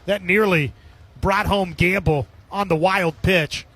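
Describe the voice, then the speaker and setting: A man calling baseball play-by-play as live radio sports commentary, with a husky edge to his voice. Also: high-pitched, steady tone, slow pace, fairly bright tone.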